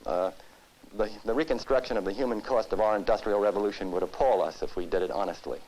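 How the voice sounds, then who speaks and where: A man from the United States giving serious interview commentary, speaking deliberately in formal, rhetorical phrases. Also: fairly low pitch, a little mellow, rough recording. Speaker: expert interviewee.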